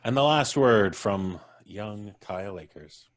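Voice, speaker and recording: A man's voice: on the low side, expressive, slow pace. A man hosting a live stream wrap-up over a video call mic, genteel and wry, with frequent chuckles. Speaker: host.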